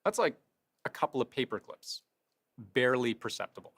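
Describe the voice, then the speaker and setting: A man's voice: medium pitch, big pitch swings, brisk, fairly bright tone. A man hosting a news explainer in a conversational scripted style, posing rhetorical questions and stressing key phrases. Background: faint music.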